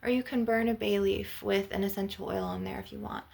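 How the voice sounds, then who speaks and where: A woman's voice: mid-range voice, steady tone, fairly quick, creaky, noisy background. A woman hosting a casual spiritual ASMR healing session, speaking informally and encouragingly straight to the listener. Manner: informal, encouraging, repeatedly addressing the listener directly. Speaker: ASMR energy healer channel host. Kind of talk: ASMR-style spiritual coaching, casual chat.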